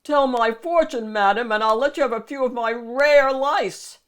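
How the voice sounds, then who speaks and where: A woman voicing a rude, swaggering male ogre in a children's storybook, loud, imperious and snapping. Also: fairly high pitch, normal speed, smooth, pitched above the performer's own voice, close to an everyday voice. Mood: bargaining. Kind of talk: children's picture book read-aloud.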